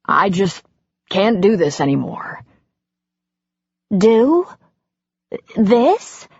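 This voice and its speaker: A woman's voice: mid-range voice, animated, a little slow, slightly rough, no room sound, highs cut off. A woman voicing an exasperated middle-aged husband who is walking out, bitter and swearing in heated outbursts. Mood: fed up.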